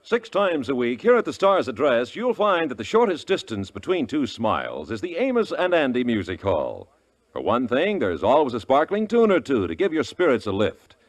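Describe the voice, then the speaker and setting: Lively, polished male radio announcer. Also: medium pitch, normal speed. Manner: polished, scripted delivery. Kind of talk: 1950s radio promotional announcing. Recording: vintage radio broadcast, faint music.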